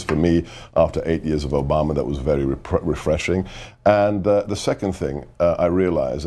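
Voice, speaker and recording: Deep-voiced emphatic man. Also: warm tone. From United Kingdom. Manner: emphatic, insistent, repeats for effect. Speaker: guest, former government adviser. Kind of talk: televised political interview.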